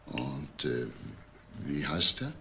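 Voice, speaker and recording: A controlled police inspector in a crime radio play. His voice is very low and creaky, and he questions in a probing, halting way. There is faint noise behind him. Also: sing-song, pushed and bright, heavily acted. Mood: pressing, halting. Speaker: actor. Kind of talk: German crime radio drama acting.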